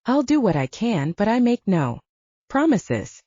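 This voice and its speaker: A woman's voice: mid-range voice, big pitch swings, a little slow, warm tone, no room sound, dull audio, voice pushed up. A woman voicing a cheeky, flirty male wizard who talks in a teasing, breezy way. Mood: breezy, playful.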